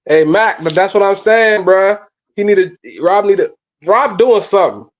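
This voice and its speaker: A man with a fairly high voice hosts an informal live sports chat. He talks in loose slang, doubling back on words and repeating them. The sound is slightly dull and muffled, coming through a phone. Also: unhurried. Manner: slangy, repetitive, stumbling over words. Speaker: host of a live sports fan show. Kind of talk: casual live-stream chat about college football.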